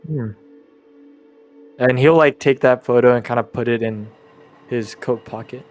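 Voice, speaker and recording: A man's voice: medium pitch, unhurried, soft background music. A man playing a tabletop game, casually narrating his character's actions in a hesitant, laid-back way. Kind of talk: casual role-play, narrating his character in third person.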